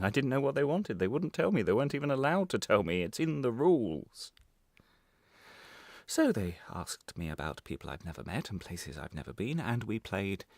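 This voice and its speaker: An actor playing a weary, bitter prisoner held without trial in a radio monologue, speaking in a halting, intense confessional way. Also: male voice, on the low side, big pitch swings, a little mellow, no echo, clean studio sound. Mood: desperate.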